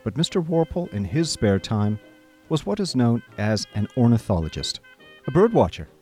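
A man playing a chatty, idle young gentleman who narrates a comic radio drama in breezy, old-fashioned upper-class phrasing. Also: mid-range voice, sing-song, normal speed, soft background music, lightly acted. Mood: amused explaining.

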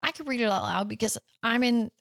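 A woman's voice: fairly high pitch, vocal fry, no room sound. Chatty woman podcast co-host, dry sound. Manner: chatty, quick to laugh along. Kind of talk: casual chat.

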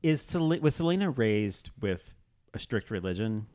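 Low-voiced man in casual chat. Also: very animated, a little slow, darkish tone. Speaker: podcast host.

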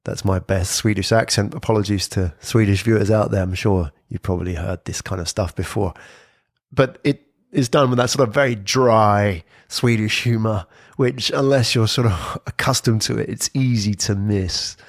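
A man giving an informal explainer talk on podcasting, lively and conversational, with frequent "sort of" hedges. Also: fairly low pitch, moderate pace, no echo, studio quality. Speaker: presenter on brand podcasting.

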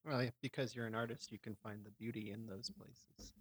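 A man's voice: medium pitch, steady tone, a little fast, slightly rough. A man interviewing an artist in a casual, easygoing way. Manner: laughs often, easygoing questions.

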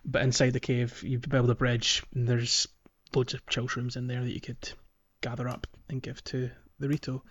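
A man's voice: medium pitch, completely flat delivery, moderate pace, hardly any pauses, lo-fi sound. A man co-hosting a casual video game podcast, talking in a hesitant way as he searches for his words. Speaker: podcast co-host.

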